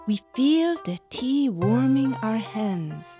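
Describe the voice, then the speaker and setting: An actress playing a gentle, patient mother in a children's cartoon, explaining things in a measured, softly formal way. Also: on the high side, very animated, over music, exaggerated voice. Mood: calm, instructive. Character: gentle, patient mother hosting a tea ceremony.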